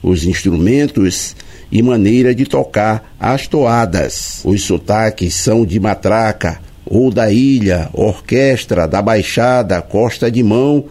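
A man narrating a news report in a measured, even delivery. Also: fairly low pitch.

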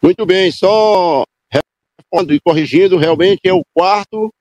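A man's voice: high-pitched, unhurried, noisy background. A man, reporting live for radio in a loose, improvised way with restarts and self-corrections. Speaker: field reporter.

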